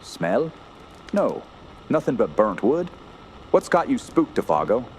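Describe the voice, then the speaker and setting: An actor playing an earnest, fearful gentleman camper who tells a horror tale in solemn, literary radio drama style. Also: mid-range voice, big pitch swings, unhurried, a little hiss or hum, low-quality recording. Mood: concerned. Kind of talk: radio drama narration and dialogue.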